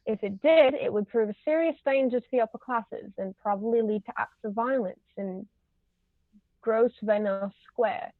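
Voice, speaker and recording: Imperious society matriarch played by a woman, high-pitched and pompous, stage comedy read-through, roomy muffled sound. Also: normal speed, close to an everyday voice. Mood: pompous, judging. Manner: pompous, formal, pronouncing judgments. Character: imperious, haughty older aristocratic English lady, snobbish interrogator. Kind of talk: amateur table reading of a stage comedy. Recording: roomy, muffled low-quality recording.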